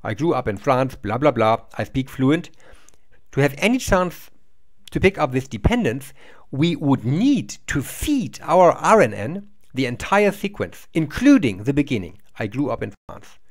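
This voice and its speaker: A middle-aged man lecturing from a small room to a webcam. His pitch moves up and down a lot as he explains step by step, and he often repeats or restarts a word mid-sentence. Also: very animated, measured pace. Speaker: university lecturer. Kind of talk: recorded lecture over slides.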